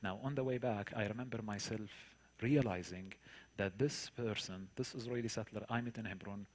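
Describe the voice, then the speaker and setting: A man's voice: lowish voice, moderate pace. Palestinian man telling his story, earnest and emphatic. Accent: Palestinian Arabic. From Palestinian territories. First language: Arabic. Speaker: guest speaker, tour guide. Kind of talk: personal storytelling in a public talk.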